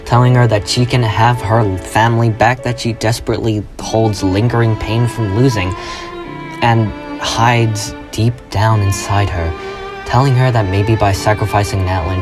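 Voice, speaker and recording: A man presenting a fan theory as a scripted video essay, with dramatic storytelling phrasing. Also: fairly low pitch. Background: loud music.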